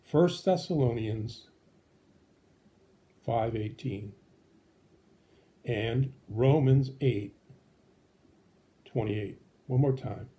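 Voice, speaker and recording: A man's voice: medium pitch, dark tone. A man speaking as a pastor and puppet show host, explaining things simply and patiently to children.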